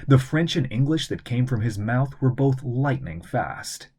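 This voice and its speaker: Husky man narrating a children's adventure story. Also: mid-range voice, unhurried. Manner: expressive, theatrical storytelling. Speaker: audiobook narrator, voice actor. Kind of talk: scripted narration of a children's adventure novel, with character voices.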